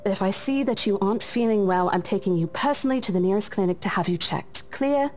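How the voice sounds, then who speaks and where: A woman voicing a patient older female colleague who speaks calmly and firmly. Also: mid-range voice, brisk, soft background music, lightly acted. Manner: calm, firm and motherly.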